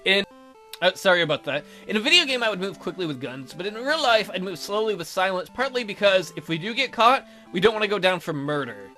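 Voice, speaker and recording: Animated guy narrating a visual novel, bright voice, goofy asides, music behind him. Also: high voice, fast. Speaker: let's play host. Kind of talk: reading a visual novel aloud with ad-libbed jokes. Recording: background music under voice.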